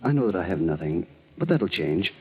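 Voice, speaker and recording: An ardent young suitor presses his case in a lowish, husky voice that swings expressively. It is 1940s radio melodrama, heard through a dull vintage recording. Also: big pitch swings, fairly quick, exaggerated voice. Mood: ardent, insistent. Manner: intimate, probing, pressing. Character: ardent, insistent young male suitor, penniless and jealous. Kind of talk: 1940s radio drama acting.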